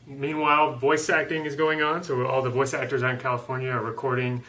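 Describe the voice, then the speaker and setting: A man's voice: mid-range voice, flat delivery, a little fast, sharp tone, low-quality recording. A man speaking as an interview guest, explaining his work informally with somewhat hesitant phrasing. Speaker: guest, game animator. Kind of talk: casual explanatory interview talk.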